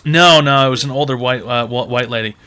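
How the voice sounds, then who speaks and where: A man's voice: mid-range voice, brisk, pushed and bright, clean tone. A man hosting a call-in advice show, brash and mocking with crude, profane jokes.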